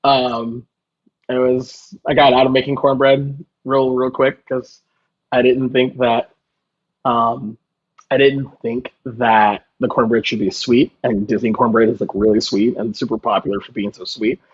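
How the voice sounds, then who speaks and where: A man's voice: mid-range voice, flat delivery, measured pace, breathy edge. Casual joking man, slightly dull audio. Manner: self-deprecating, joking. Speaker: guest chef. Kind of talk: casual conversational storytelling.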